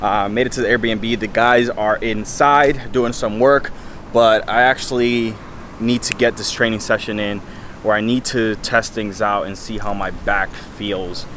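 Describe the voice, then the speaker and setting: Young male vlogger from Puerto Rico, low-ish voice, upbeat and rambling, recorded in a car. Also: noisy background. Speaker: vlogger and powerlifter. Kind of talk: casual vlog chat to camera. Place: inside a car while driving.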